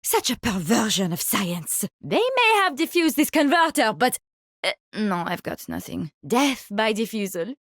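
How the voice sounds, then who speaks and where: High-voiced, gleefully morbid French virologist. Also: female voice, big pitch swings, a little slow, a bit bright, no echo, studio quality, full character voice. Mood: wry, disappointed. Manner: theatrical, articulate, mock-courteous. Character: gleeful, morbid French woman virologist, polite yet sinister. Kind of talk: video game character voice lines.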